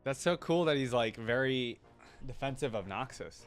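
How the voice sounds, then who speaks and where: A man's voice: mid-range voice, expressive, moderate pace, over music. A man giving informal, casual fan commentary as a reaction video host. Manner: informal, peppered with "like" and "you know".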